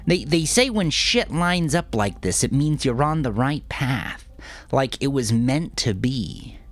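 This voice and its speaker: A man voicing a tense, hot-tempered younger criminal who speaks in profane, rough language. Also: medium pitch, lively intonation, dry recording, voice pushed up, natural voice. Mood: earnest. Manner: snarling, profane, halting when explaining.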